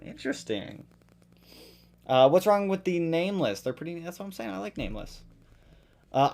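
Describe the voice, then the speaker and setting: A man hosting a casual live stream, reading out viewer questions and answering them in a chatty, relaxed way, his voice tiring after a long session. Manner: greets viewers loudly with sudden jumps in pitch.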